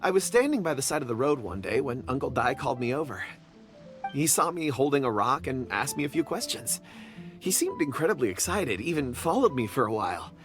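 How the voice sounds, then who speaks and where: A man's voice: mid-range voice, very animated, fairly quick, over music, lightly acted. A man voicing an absent-minded rock enthusiast in a video game, polite, wordy and slightly old-fashioned.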